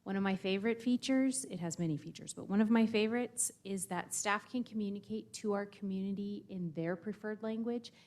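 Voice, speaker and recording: A woman's voice: medium pitch, fairly quick, hardly any pauses, darkish tone. A woman giving an upbeat staff report at a school board meeting, with fillers and a light laugh now and then. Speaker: district staff presenter.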